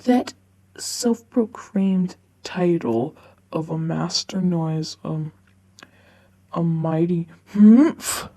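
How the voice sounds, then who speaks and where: Slow, halting woman, low creaky voice. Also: dark tone, low-quality recording. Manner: halting, stumbles over words. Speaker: narrator reading online stories for a video channel. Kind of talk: halting read-aloud of forum stories.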